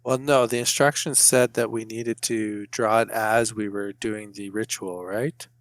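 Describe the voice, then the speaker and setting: A man's voice: lowish voice, steady tone, unhurried, no room sound. A man taking part as a player in a tabletop roleplaying game, casually saying what his character does.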